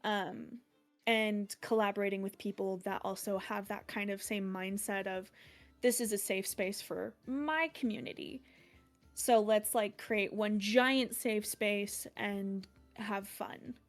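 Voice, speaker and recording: A woman streamer with a deeper voice for a woman, chatting casually as a guest in an interview, rambling and laughing often. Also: steady tone, takes it slowly, soft background music.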